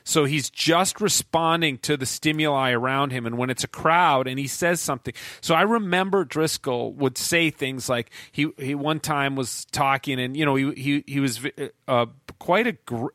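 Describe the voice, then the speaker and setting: Man in his forties, bright forceful tone, dry close sound, rambling talk full of restarts. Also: medium pitch, no room sound. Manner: rambling, many restarts and fillers. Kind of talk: casual conversation.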